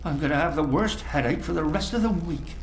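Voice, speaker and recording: A man's voice: a little high, animated, fairly quick. A man playing a harried, reluctant video game character, pleading and stammering as he gives in. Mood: pleading, exasperated. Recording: echoey room, faint background noise.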